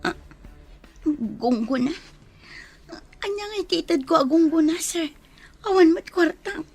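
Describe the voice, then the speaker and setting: A scared young maid held captive in a Filipino radio drama. Her voice is high, meek and pleading, with an Ilocano accent. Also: expressive, measured pace, soft background music, heavily acted.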